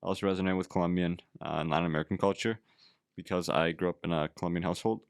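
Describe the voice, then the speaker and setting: A man's voice: deep voice, steady tone, racing, creaky, clean tone, dry recording, clean studio sound. A man hosting a casual conversation, talking reflectively and rambling with lots of "like". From Canada. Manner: reflective, rambling, heavy use of "like".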